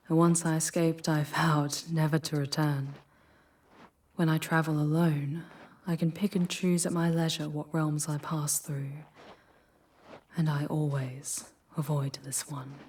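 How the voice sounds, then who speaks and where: A woman as low, unhurried demon guide Lilith. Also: darkish tone. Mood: vulnerable, wistful. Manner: measured, intimate, often falling to breathy whispers. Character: charming female demon Lilith, proud, tender protective guide. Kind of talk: ASMR fantasy roleplay acting.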